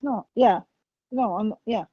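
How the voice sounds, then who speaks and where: A woman co-hosting a podcast in casual conversation, reflective and sometimes halting as she talks about feelings. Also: a little high, breathy edge, no echo, muffled recording. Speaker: podcast co-host.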